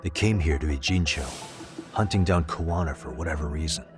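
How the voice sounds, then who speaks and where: Very deep-voiced serious detective. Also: male voice, a little fast. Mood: grave, thoughtful. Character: serious, steady male detective working a case. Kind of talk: English game dub, cutscene dialogue. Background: background music.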